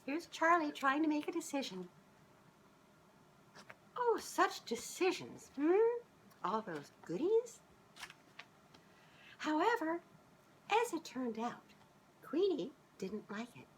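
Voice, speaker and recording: A middle-aged woman reading a children's storybook aloud in an expressive, sing-song way, as if speaking directly to young listeners. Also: high voice, slow, long pauses, slight background noise, rough recording. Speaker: children's author reading her own book aloud. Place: small living room, on a couch indoors.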